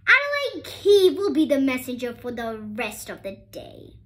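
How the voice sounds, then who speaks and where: A stern storybook schoolmistress, put on by a woman, high and forceful. She scolds with exasperated, indignant emphasis and a lively swing in pitch. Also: moderate pace, heavily acted. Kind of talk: storybook character voice.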